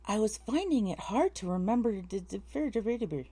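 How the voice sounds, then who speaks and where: A woman's voice: mid-range voice, lively intonation, normal speed, warm tone, breathy edge. A woman voice actor reading dramatic first-person story narration in takes.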